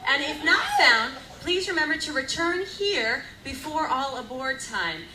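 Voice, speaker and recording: A woman's voice: sits high, big pitch swings, measured pace, bright, forceful tone, full character voice. A woman playing a bossy, commanding crew member laying down rules in an amateur live radio play. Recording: echoey live stage with crowd noise.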